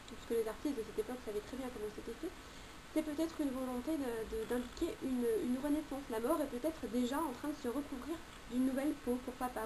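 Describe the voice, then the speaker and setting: High-voiced woman chatting through tarot cards. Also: background noise. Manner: chatty, many hesitations and self-corrections, jokes aside. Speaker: tarot commentator. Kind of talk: informal spoken commentary on tarot cards.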